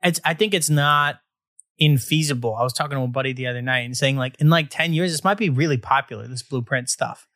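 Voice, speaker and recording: A young man in his twenties hosts a loose, joking conversation. He has a bright, forceful tone and rambles through his thoughts. The sound is dry and close, with no room around it. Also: medium pitch, a little fast, dry recording. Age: 20s. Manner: joking, rambling, lots of fillers. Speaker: podcast host. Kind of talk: casual conversational interview.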